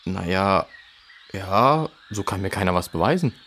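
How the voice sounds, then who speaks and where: A man's voice: sits low, expressive, measured pace, a bit bright, heavily acted. A man playing a defensive teenage boy who acts tough, talking in slangy, hesitant bursts in a children's audio drama.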